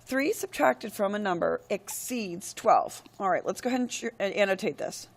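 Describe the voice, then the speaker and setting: A woman's voice: mid-range voice, normal speed, gravelly. A woman math teacher who is losing her voice walks through a screen-recorded lesson step by step. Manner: steady, step-by-step explaining with frequent "all right, go ahead".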